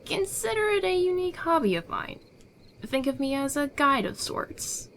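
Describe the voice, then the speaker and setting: A woman's voice: high voice, very animated, moderate pace, fairly bright tone, slight background noise, heavily acted. A woman playing a confident, secretive smuggler in a fantasy ASMR roleplay, with an assured, persuasive, theatrical delivery. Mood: sly, assured. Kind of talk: ASMR audio roleplay acting.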